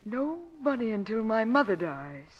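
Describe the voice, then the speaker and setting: A woman's voice: fairly high pitch, very animated, normal speed. A spurned young society woman in old radio melodrama, in the heightened acting style of the 1940s. The recording is dull and lo-fi. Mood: calculating.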